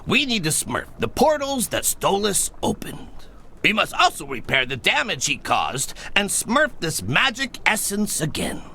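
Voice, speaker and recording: An old, kindly leader of tiny cartoon folk in a game. The voice is high and very bright, swinging widely in pitch. He stays reassuring and in command. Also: wildly swooping pitch, a little slow, slight background noise, exaggerated voice. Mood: firm, explanatory. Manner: reassuring, authoritative. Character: elderly male Smurf village leader, kindly and calm. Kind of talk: video game cutscene acting.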